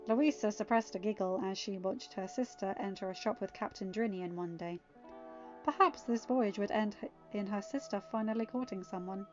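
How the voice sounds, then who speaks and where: A woman's voice: mid-range voice, a little mellow, highs cut off. A woman reading as a podfic storyteller. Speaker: podfic narrator. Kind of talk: scripted story narration. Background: faint music.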